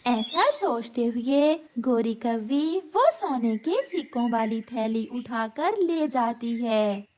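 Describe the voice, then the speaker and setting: A woman's voice: fairly high pitch, expressive, slow, a little mellow. A woman narrating a cartoon story in a scripted storytelling style.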